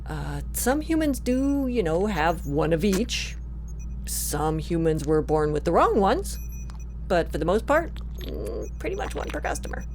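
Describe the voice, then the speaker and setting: A woman's voice: lowish voice, very animated, a little slow, darkish tone, a little hiss or hum. A woman playing a wry, improvising spaceship captain in a sci-fi comedy audio drama, speaking with hesitant, dry humour. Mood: awkward.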